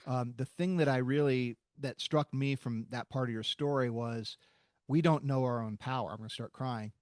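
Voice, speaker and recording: A man's voice: mid-range voice, fairly even intonation, no room sound, studio quality. A man hosting a podcast, talking in a reflective, thoughtful way that halts and restarts as he searches for his words. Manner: thoughtful, halting, with many restarts. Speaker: podcast host. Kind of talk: reflective interview conversation.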